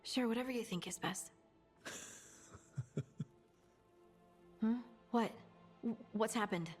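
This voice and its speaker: An actress voicing a dreamy, earnest dwarf woman scholar in a video game, musing haltingly in a breathy voice. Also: fairly high pitch, darkish tone. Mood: distracted. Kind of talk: video game dialogue acting. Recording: long hall reverb, music underneath.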